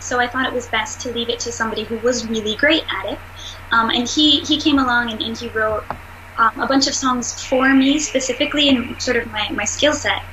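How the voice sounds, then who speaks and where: A woman's voice: fairly high pitch, bright, forceful tone, slight background noise, poor audio. A woman, a singer and voice actress, chatting casually in an interview with a nasal voice and frequent self-deprecating laughs.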